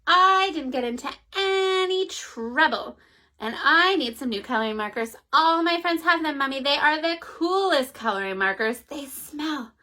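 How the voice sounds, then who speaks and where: A woman's voice: high-pitched, animated, moderate pace, sharp tone. A woman voicing a pushy young girl who keeps nagging her mother, in a whiny, insistent way. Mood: pleading.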